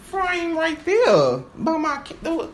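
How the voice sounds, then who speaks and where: A woman's voice: extremely high pitch, huge pitch swings, slow, slight background noise, exaggerated voice. A woman performing a sassy, boastful divorced wife in an improvised stage monologue, stammering and repeating words as the character rambles. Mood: admiring, musing. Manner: stammering, repeats words, sighs and laughs often.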